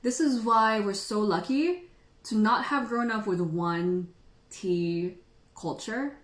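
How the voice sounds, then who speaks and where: A woman's voice: mid-range voice, slow pace. Chatty, laughing woman tea host. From Philippines. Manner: chatty and playful, laughs often. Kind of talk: casual livestream chat answering viewers. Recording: echoey room.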